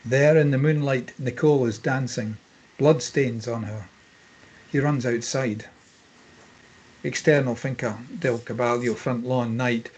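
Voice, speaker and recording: A man's voice: mid-range voice, steady tone, unhurried, a little mellow, slightly rough, rough recording. A man reading screenplay stage directions as scripted narration, in clipped phrases.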